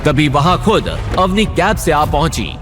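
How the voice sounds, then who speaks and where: A storytelling narrator with a fairly high male voice. He delivers a scripted romantic audio drama dramatically, with clear music underneath. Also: very animated, a little slow. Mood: dramatic.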